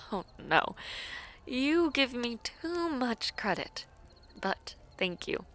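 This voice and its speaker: A woman playing a poised, teasing, affectionate villainess princess in a fantasy romance audio roleplay, with formal courtly phrasing and a breathy, often near-whispered delivery. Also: on the high side, very animated, slow pace, a bit bright, soft background music, full character voice. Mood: gracious, sociable.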